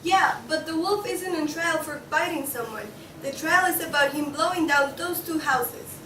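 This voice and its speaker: A woman's voice: high voice, normal speed, pushed and bright. A woman playing a bossy, suspicious pig juror with an emphatic delivery in a student play reading. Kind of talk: student table read of a comic play. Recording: echoey room, distant mic, faint noise.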